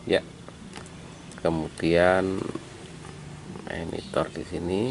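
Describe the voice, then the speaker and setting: Low, slow, gravelly man giving a tutorial. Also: level tone. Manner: step-by-step, halting as he works, addressing viewers as friends. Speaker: electronics repair hobbyist presenting a tutorial. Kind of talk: informal hands-on tutorial narration. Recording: clearly noisy amateur recording.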